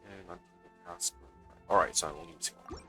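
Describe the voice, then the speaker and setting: A man chatting casually during a live let's play, muttering to himself in halting bursts. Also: sits low, steady tone, pushed and bright, soft background music. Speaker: game streamer.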